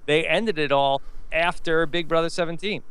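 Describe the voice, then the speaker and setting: A man's voice: fairly high pitch, moderate pace, a bit bright, clean tone, low-quality recording. A man hosting a reality TV recap show in a conversational way. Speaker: podcast host.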